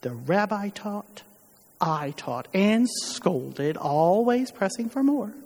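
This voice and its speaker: Woman performing a bereft mother of a condemned son, slightly husky, solemn stage monologue, halting delivery, long church reverb. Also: mid-range voice, big pitch swings, a little mellow, heavily acted. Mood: wistful, pained. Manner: solemn, halting, emphatic with dramatic pauses. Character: grieving, ashamed widowed mother of a condemned criminal. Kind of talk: dramatic monologue in a church service. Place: large reverberant church during a worship service. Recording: echoey hall with long reverb, muddied.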